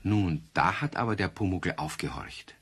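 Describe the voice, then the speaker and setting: A man narrating a children's radio play as a storyteller, talking conversationally to young listeners with gentle, wry amusement. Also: deep voice, lively intonation, moderate pace, slightly rough. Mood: wry, friendly.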